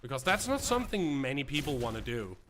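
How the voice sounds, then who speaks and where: A man's voice: mid-range voice, very animated, quick pace, noisy background. A young man with a Danish accent, whose first language is Danish, streaming a game and chatting with viewers in a rambling way with many fillers and restarts. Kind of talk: live gameplay commentary, chatting with viewers.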